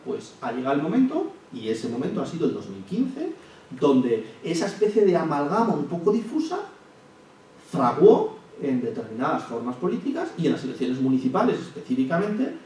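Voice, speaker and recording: A man giving a public lecture, measured and thinking aloud with frequent restarts and hesitations. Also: a little high, big pitch swings, a little mellow. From Spain. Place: lecture hall. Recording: echoey hall, from far off, faint hiss.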